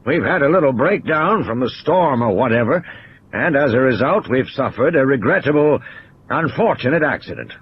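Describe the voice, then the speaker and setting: A man voicing a stubborn old park owner in an audio play, insistent and over-reassuring, breezily dismissive and quick to snap. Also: medium pitch, animated, lo-fi sound, a little hiss or hum, close to an everyday voice.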